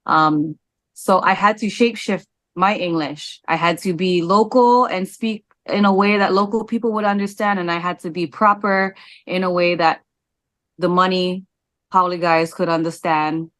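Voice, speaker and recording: A woman, answering interview questions candidly, with frequent "um" pauses. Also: on the low side, fairly even intonation, fairly bright tone, no room sound. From Hawaii. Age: 40s. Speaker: interview guest.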